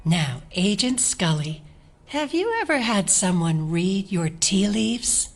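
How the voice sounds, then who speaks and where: An actress playing an older, unhurried small-town neighbor with a knowing, cryptic manner in an audio drama. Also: female voice, mid-range voice, animated, faint music behind. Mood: knowing, hospitable. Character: eerily calm gray-haired small-town neighbor woman who reads tea leaves.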